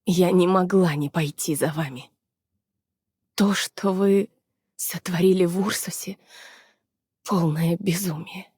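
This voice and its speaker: An actress voicing a weary, anxious young woman scientist from the frozen north in a game dub, soft and hesitant. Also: on the low side, fairly even intonation, slow, long pauses, dry recording, clean studio sound. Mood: melancholy, weary. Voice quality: soft, husky, breathy. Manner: hesitant, subdued, apologetic. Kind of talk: Russian dub of game character voice lines.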